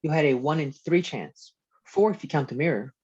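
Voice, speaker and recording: A woman playing an excitable, cheeky kid egging on a parent, in a comedy table read. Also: low-pitched, moderate pace, husky. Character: enthusiastic, cheeky kid of a single mom. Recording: dull lo-fi video call.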